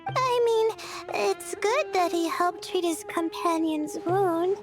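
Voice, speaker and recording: A woman voicing a gentle, self-doubting young female student in a video game, hesitant and apologetic. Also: very high-pitched, slow pace, heavily acted. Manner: hesitant, apologetic, trailing and stammering. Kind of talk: English video game voice acting. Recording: faint music behind the voice.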